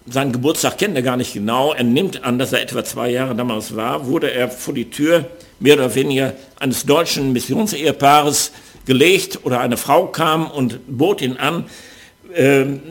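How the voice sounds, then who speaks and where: An older man from the Ruhr area speaking with a Ruhr German accent, preaching an earnest, measured sermon with frequent hesitations. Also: mid-range voice.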